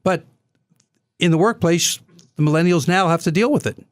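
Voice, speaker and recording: Halting male radio talk show host. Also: high voice, no room sound. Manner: halting, with fillers and restarts. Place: radio studio.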